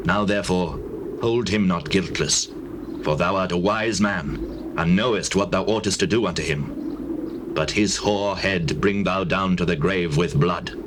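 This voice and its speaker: An actor playing a dying old king, giving grave, deliberate counsel in a dramatized scripture reading. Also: sits low. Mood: grim, vengeful. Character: dying old king David, solemnly charging his son. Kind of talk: dramatized scripture, classical stage acting. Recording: noisy, hissy old cassette tape.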